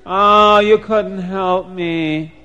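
A man's voice: high-pitched, takes it slowly, lo-fi sound. A man voicing a distraught, hopeless town manager with a wailing lament, in a children's story. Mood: upset, hopeless.

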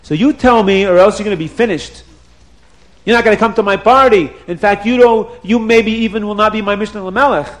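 A man voicing an excitable, bossy, easily offended king in a children's storybook tale, with demanding, repetitive questioning. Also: high-pitched, moderate pace, pitched above the performer's own voice, rough recording. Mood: angry, commanding.

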